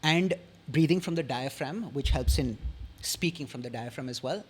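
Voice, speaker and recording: This young man speaks with an Indian English accent, his voice a little husky and set somewhat high for a man. He explains things articulately and measuredly, leaving pauses between sentences as a podcast guest. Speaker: podcast guest, communication strategist. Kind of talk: casual explanatory interview talk.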